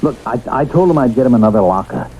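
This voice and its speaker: A man's voice: medium pitch, lively intonation, quick pace, mellow, lightly acted. An actor in a radio drama playing a middle-aged ex-convict handyman, anxious, humble and earnestly defensive. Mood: protesting, anxious. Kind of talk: radio drama acting with first-person narration. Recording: muffled old radio broadcast, faint noise.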